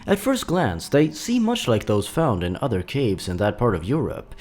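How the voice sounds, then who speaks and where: A man's voice: mid-range voice, wildly swooping pitch, normal speed, a little mellow, slight background noise. A man narrating scripted horror stories with a dramatic, suspenseful delivery. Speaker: narrator, host of a horror story countdown.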